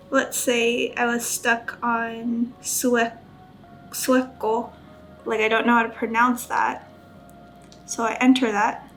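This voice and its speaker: Female study vlogger, very unhurried delivery, casual and careful with pronunciation, light music underneath. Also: fairly high pitch, fairly bright tone. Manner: self-conscious, careful when pronouncing foreign words. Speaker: study vlogger and Japanese learner. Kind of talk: casual study-with-me chat, sounding out vocabulary.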